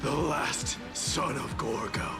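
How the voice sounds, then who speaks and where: Slow, wide-swinging proud warrior king. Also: medium pitch, lively intonation, vocal fry. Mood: proud, solemn. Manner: declamatory, regal, deliberate delivery. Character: proud warrior king and demigod of strife, fierce, defiant. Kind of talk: dramatic video game voice acting. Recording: game dialogue under loud music.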